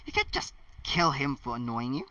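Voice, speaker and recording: A man's voice: mid-range voice, huge pitch swings, moderate pace, bright, forceful tone, dry recording. A man voicing an earnest, bossy, fretful teenage schoolgirl in an amateur story reading. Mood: stern, fretful. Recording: dull lo-fi, distant mic.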